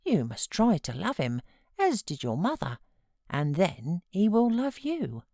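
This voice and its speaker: A woman plays a kindly British housekeeper from an audiobook. The delivery is gentle and reassuring, with coaxing, animated rises and falls, in a very dry recording. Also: on the low side, unhurried, no room sound, exaggerated voice. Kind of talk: audiobook character voice.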